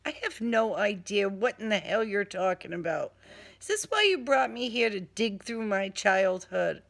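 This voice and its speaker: A woman's voice: mid-range voice, expressive, moderate pace, creaky, a bit bright. A woman playing a blunt, slangy, sarcastic heroine who stands her ground in a crime audiobook. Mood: angry, defiant. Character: defiant, sarcastic streetwise woman working for police.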